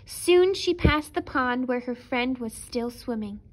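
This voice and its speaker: A woman's voice: high-pitched, animated, unhurried, rough recording. A woman storyteller narrating a children's picture book expressively for preschoolers.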